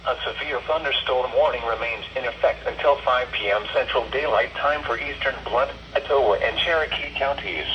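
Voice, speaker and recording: A man's voice: medium pitch, moderate pace, few pauses, lo-fi sound. An American man's synthetic voice reading severe weather bulletins in a formal, stilted way as a radio announcer. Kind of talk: scripted emergency weather alert bulletin. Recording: weather radio speakers, loud sound behind.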